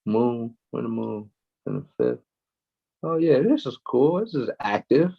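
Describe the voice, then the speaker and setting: A man's voice: medium pitch, wildly swooping pitch, measured pace, creaky, husky, muffled recording. A man co-hosting a casual astrology chat, laid-back, with frequent laughs and lots of fillers. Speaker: astrology show co-host.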